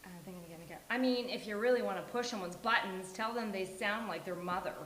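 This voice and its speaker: A woman's voice: medium pitch, fast talker, a bit bright, natural voice. A woman reading an upset, indignant sitcom friend at a live table read in a roomy, hissy space. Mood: exasperated. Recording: roomy live venue, hiss.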